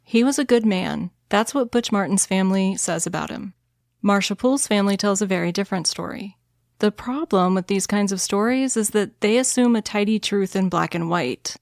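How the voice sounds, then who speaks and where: A woman hosts a true crime podcast and reads her narration in a calm, soothing, measured voice. The sound is dry and close to the mic, with no room around it. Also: medium pitch, no echo. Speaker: podcast host.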